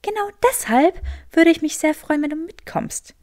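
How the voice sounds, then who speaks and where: A woman's voice: sits high, lively intonation, normal speed, a little mellow, no room sound, clean studio sound, pitched above the performer's own voice. A woman voicing a clever, earnest and determined young witch, explaining eagerly in an audiobook. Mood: eager, explanatory.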